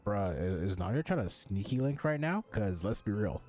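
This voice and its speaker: A man's voice: fairly low pitch, sing-song, brisk, a little mellow. A young man casually commentating on a game, slangy and rambling, often repeating himself. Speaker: game streamer. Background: game music.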